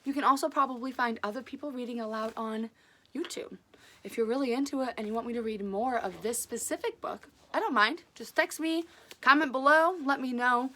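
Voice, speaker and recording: A woman reading aloud as a storyteller, casual and chatty, with an enthusiastic, expressive delivery. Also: a little high.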